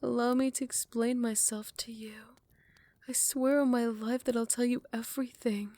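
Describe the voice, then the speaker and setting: A woman's voice: on the high side, steady tone, normal speed, natural voice. Woman voicing a possessive vampire queen. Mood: pleading. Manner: soft close whispers turning to furious shouting. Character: possessive female vampire queen, lovesick then vicious. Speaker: voice actor. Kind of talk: ASMR roleplay.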